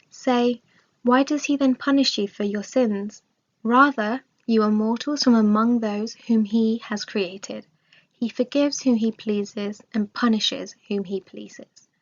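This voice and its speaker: A woman reading a scripted religious study aloud in a measured, formal manner. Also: a little high. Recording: dull, lo-fi recording.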